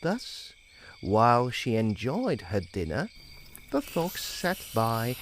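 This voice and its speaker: Slow, highly expressive male storyteller. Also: a little hiss or hum. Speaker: guest storyteller.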